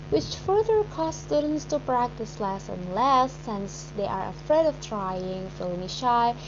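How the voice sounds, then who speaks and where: A young woman with an Indonesian accent, carefully reading a student presentation from a script. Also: fairly high pitch. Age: young adult. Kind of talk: read-aloud academic presentation. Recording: dull recording with clear background hum.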